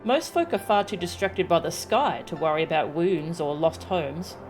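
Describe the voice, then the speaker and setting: A woman's voice: fairly low pitch, natural voice. Woman reading as a formal, solemn fantasy leader. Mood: solemn, courteous. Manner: formal, measured, archaic phrasing. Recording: game music behind the voice.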